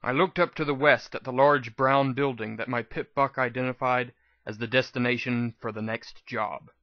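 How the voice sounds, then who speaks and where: A man's voice: medium pitch, fairly even intonation, moderate pace, no room sound, lo-fi sound. A man reading a scripted first-person story aloud as a dramatic audiobook narration. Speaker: audiobook reader.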